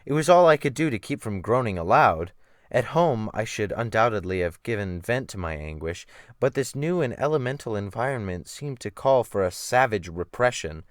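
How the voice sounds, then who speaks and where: Male narrator for classic fiction: theatrical, deliberate phrasing, animated intonation, medium pitch, dry close sound. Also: no room sound. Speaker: audiobook narrator. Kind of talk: dramatic reading of classic fiction.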